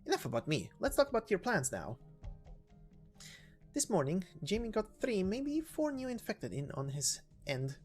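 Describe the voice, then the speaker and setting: A woman's voice: mid-range voice, big pitch swings, normal speed, a little mellow, soft background music, pitched above the performer's own voice, natural voice. A woman voicing a serious, troubled female former knight, a loyal aide who speaks earnestly in a game story. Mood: concerned.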